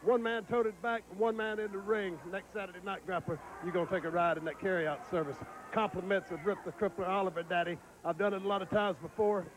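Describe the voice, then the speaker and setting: A man's voice: high-pitched, fairly even intonation, a little fast, few pauses, slight background noise, poor audio. A male pro wrestler cutting a boastful, menacing promo at his rival. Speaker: professional wrestler.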